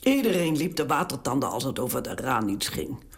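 A woman's voice: rumbling low, sing-song, fairly quick, full character voice. Casual: a stubborn old queen, swings up and down a lot, dignified. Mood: reminiscing. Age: older. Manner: dignified, emotional exclamations. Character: stubborn elderly queen, proud grandmother. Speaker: narrator and lead in radio drama. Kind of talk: radio drama acting.

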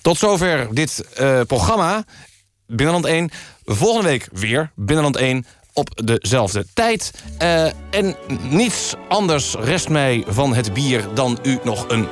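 A man presenting a mock-serious radio magazine in a deadpan manner. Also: mid-range voice, a little slow, faint music behind. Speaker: radio host. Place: radio studio.

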